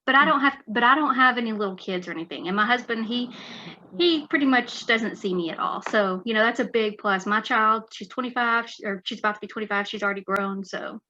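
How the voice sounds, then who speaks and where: A woman's voice: on the high side, a little fast, bright, forceful tone, muffled recording. A woman in her forties from West Texas with a West Texas accent, chatting as an author guest with many fillers and restarts. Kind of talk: casual interview chat.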